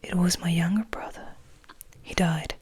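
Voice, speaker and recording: A woman reading as a composed, wistful male vampire in a soft, confiding, breathy whisper for an ASMR audiobook. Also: fairly low pitch, fairly even intonation, a little slow. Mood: composed, reminiscing. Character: gentle, composed, wistful male vampire telling his story.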